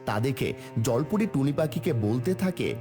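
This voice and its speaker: A man's voice: medium pitch, expressive, moderate pace, music in the background. A man narrating a fairy tale as a scripted storyteller.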